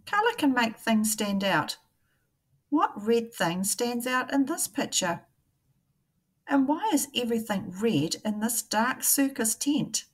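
A woman, a primary teacher reading a picture book aloud to young children in a deliberate, questioning way. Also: a little high, animated.

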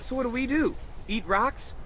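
A man's voice: extremely high pitch, normal speed, sharp tone, a little hiss or hum, poor audio. An actor playing a sarcastic, wisecracking teenage boy survivor in a video game drama.

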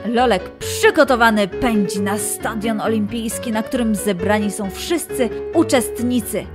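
A woman narrating a children's story in an expressive style. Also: fairly high pitch, measured pace, over music.

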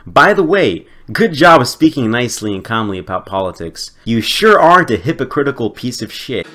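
A male commentator mocks what he is watching, sounding sarcastic and exasperated and swearing freely. His voice is bright and tense, and his pitch moves animatedly. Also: big pitch swings, normal speed. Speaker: video commentator. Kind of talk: reaction commentary.

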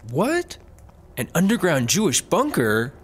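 An actor playing a carefree, shameless, food-loving cop in a comedy audio drama, casual and excitable. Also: male voice, a little high, a little slow, slightly rough, full character voice. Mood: curious.

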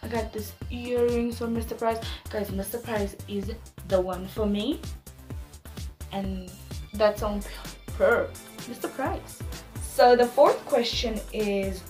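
A woman in her twenties with a Namibian accent, chatting casually to viewers in a vlog with animated slangy asides. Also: a little high, slow, faint music behind, poor audio. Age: 20s. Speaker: YouTuber.

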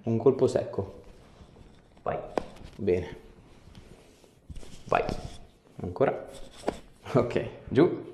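Creaky-voiced chiropractor in an echoey room. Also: male voice, on the low side, drawn-out words, long pauses, a little hiss or hum. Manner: short, gentle, repeated instructions. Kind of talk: instructing a patient during treatment. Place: small indoor treatment room. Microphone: camera a few steps away. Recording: roomy echo, camera across small room.

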